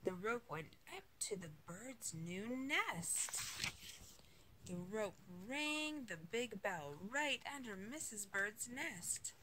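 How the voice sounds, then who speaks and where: Expressive, slow-reading woman with lively pitch. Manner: slow, expressive, acting out the dialogue. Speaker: mother reading a bedtime story to a child. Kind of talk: read-aloud children's storybook narration. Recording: noisy home recording with clicks.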